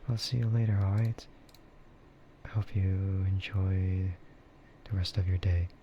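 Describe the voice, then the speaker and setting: A man speaks in a dark, mellow, half-whispered voice, taking his time as he rambles through casual ASMR stream chat. His delivery is slow and hesitant. The close-mic recording is a little dull, with faint noise behind him. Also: low-pitched, steady tone. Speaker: VTuber streamer.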